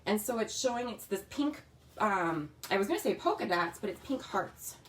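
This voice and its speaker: A woman with a slightly raspy voice, chatting casually as she demonstrates a scrapbooking kit in her home craft room. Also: medium pitch, lively intonation, moderate pace, slight background noise. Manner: chatty, talks while working with her hands.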